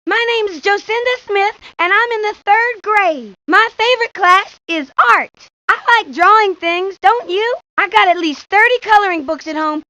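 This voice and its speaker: A woman's voice: very high voice, bright, forceful tone, dry recording, heavily acted. A woman voicing a modest, friendly third-grade girl in a video game, speaking in a plain, earnest, childlike way. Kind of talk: video game character lines. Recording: dull lo-fi old game audio.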